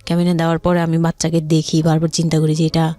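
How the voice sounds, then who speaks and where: A woman in her thirties with a Bangladeshi accent, telling her personal story in conversation as an interview guest, haltingly and circling back. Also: sits low, monotone, mellow.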